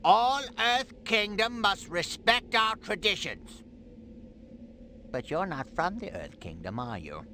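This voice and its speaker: An actor playing a playful, mischievous old king with a theatrical, erratic delivery in an animated game. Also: sits high, slow, slight background noise, exaggerated voice. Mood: mock stern. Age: older. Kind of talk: animated game cutscene acting. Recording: music behind the voice.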